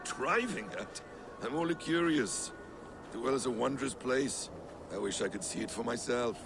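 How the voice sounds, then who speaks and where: Man doing a creaky, sly trickster god. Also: mid-range voice, animated, normal speed, background noise, natural voice. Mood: sly, probing. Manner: insinuating, smooth-tongued, questioning. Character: sly, probing male Norse trickster god. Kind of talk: dramatic video game acting.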